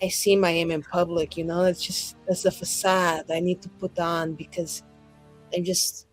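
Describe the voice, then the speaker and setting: A woman's voice: fairly low pitch, level tone, moderate pace, voice pushed down, close to an everyday voice. A woman playing a dramatic, flippant, sweary scheming sorceress at a tabletop game. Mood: quoting, mock sincere. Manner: theatrical, hedging, flippant. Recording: music behind the voice.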